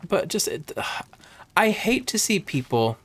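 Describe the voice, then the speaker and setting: A man in his late twenties chatting casually in a vlog, rambling and jumping up in pitch when excited, filmed on a phone at home. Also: slow. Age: 20s. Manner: rambling, animated, swings high when excited. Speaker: vlogger. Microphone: phone camera.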